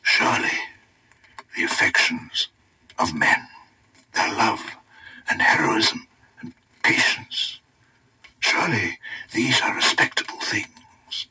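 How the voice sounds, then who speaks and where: A man voicing an earnest, wistful king in a storybook reading, with a hushed, breathy voice. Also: on the low side, animated, slow, pauses often, pitched below the performer's own voice, exaggerated voice, rough recording. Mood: pleading. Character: earnest, wistful new king, male, troubled pupil.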